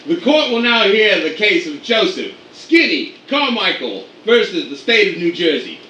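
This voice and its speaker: A man's voice: sits high, big pitch swings, normal speed, bright, forceful tone, reverberant, distant mic, highs cut off, slight background noise, exaggerated voice. A man playing a stiff formal bailiff. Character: formal male courtroom bailiff. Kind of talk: radio play acting.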